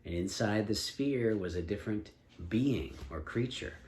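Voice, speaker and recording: A man improvising a story as a storyteller, speaking in a deliberate, inquisitive and encouraging manner. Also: lowish voice, animated, a little mellow. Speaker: storyteller and co-host with a child. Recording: echoey room, faint background noise.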